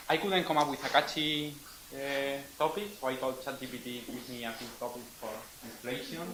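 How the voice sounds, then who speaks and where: A man's voice: mid-range voice, noisy background. A man in his twenties from southern Spain with a Spanish accent, giving a casual, self-deprecating public lecture in a lecture room. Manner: friendly, self-deprecating, joking with audience. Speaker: guest speaker.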